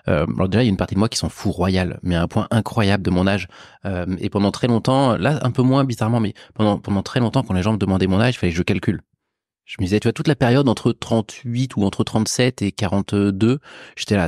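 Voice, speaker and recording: A man's voice: on the low side, a little mellow, no echo, clean studio sound. A man in his forties, a podcast guest talking casually and reflectively, with informal asides and emphatic repetition.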